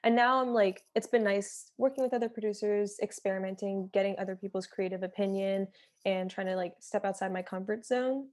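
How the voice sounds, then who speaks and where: A woman's voice: medium pitch, fairly even intonation, a little fast. A young woman from Philadelphia, a singer-songwriter talking casually as an interview guest, filling her speech with "like". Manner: chatty, many fillers like "like".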